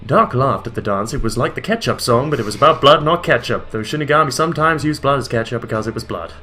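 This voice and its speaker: Sick guy reading dumb fanfic out loud, bright sharp voice, faint music behind. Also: mid-range voice, quick pace. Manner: deadpan reading, breaking into laughter. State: ill with a headache. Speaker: co-host reading aloud. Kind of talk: comic reading of fan fiction.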